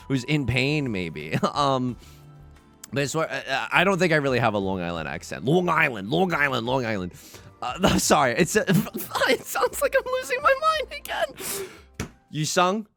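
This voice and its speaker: A man hosting a game let's play, thinking aloud in playful, self-mocking banter that rambles with restarts and swings from hushed asides to excited bursts. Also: on the high side. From United States. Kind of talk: casual gameplay commentary.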